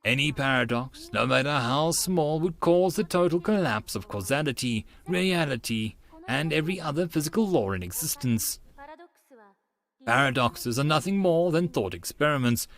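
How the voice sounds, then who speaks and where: A man's voice: fairly high pitch, animated, normal speed, a bit bright. A man voicing a composed, brilliant young woman scientist who lectures precisely and firmly in an audiobook. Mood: emphatic, lecturing. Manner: precise, firm, didactic.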